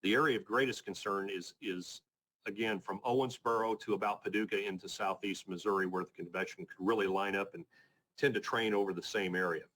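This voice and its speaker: A man with a fairly low voice leads a briefing as a weather forecaster. He talks through his slides informally but technically, staying measured and matter-of-fact. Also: steady tone, fairly bright tone, slight background noise, low-quality recording. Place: conference call presentation.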